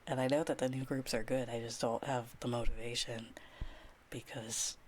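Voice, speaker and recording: A woman streaming live ASMR, talking softly and often dropping into a whisper, rambling with restarts and small laughs. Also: very deep voice, fairly even intonation, normal speed, a little hiss or hum. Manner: rambling and self-deprecating, with many restarts and soft laughs. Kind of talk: soft-spoken ASMR chat, drifting into whispers. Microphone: close ASMR mic.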